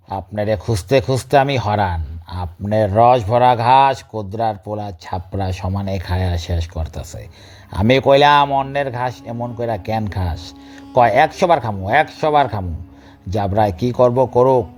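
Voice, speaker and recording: A man's voice: on the low side, darkish tone, lightly acted. A man with a Bangladeshi accent voicing a sly, gossiping animal troublemaker who eggs others on in a comic cartoon.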